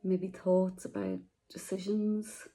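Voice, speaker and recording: A woman guiding a meditation, speaking softly and slowly with long pauses. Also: medium pitch, flat delivery, faint music behind. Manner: slow, soft, soothing, with long pauses. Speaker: meditation guide. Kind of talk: guided meditation.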